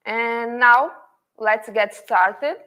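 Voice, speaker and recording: A woman's voice: fairly high pitch, extremely slow, sharp tone. A woman hosting an online event, giving a formal scripted welcome on a video call with a few small restarts. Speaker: event host, institutional manager.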